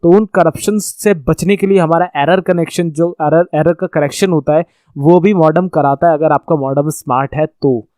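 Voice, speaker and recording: A man's voice: on the high side, fairly even intonation, normal speed, dark tone, no room sound, studio quality. A man with an Indian accent teaching in an explanatory lecture style, going step by step with repetition and checks that the listener follows. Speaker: computer networking teacher. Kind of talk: explanatory lecture over slides.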